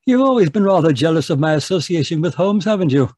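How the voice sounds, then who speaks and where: A man playing a pompous, patronising Victorian gentleman doctor and fond husband in an old-time radio mystery, with a husky voice. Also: fairly high pitch, lively intonation, fast talker, dry recording. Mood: indulgent teasing. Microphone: video call, close and dry.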